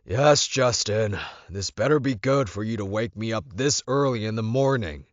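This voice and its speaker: A gruff werewolf pack alpha voiced by a male narrator barks curt orders in an audiobook. He is commanding, with a low voice in a slightly dull, dry recording. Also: unhurried, no room sound. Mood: irritated.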